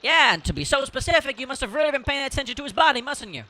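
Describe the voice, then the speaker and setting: An actor playing a stern, hard-working sibling in charge of a farmhouse in an amateur audio drama. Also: male voice, very high-pitched, very animated, racing, bright, forceful tone, heavily acted. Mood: accusing.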